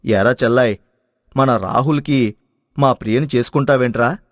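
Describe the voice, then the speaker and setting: A man voicing an affectionate older village brother in an animated story, speaking in a familiar, earnest way. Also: medium pitch, normal speed, dry recording, clean studio sound, pitched below the performer's own voice, close to an everyday voice. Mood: earnest, hopeful.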